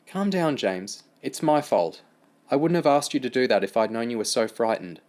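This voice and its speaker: A man voicing a kind, patient young female swimming teacher who talks gently and reassuringly. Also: mid-range voice, animated, moderate pace, lightly acted. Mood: soothing.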